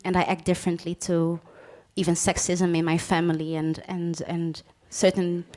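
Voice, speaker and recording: Woman moderator, Belgian Dutch accent, lowish voice, easy laughter, handheld mic in a cafe. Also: little pitch movement, moderate pace, dark tone. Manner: light, laughs easily, loose restarts. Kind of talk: live panel discussion. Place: cafe of an arts venue. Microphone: handheld microphone.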